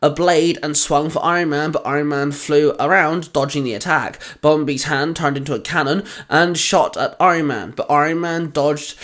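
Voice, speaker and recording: A man's voice: fairly high pitch, normal speed, few pauses, fairly bright tone. A man hosting and narrating fan fiction audio stories in a scripted read.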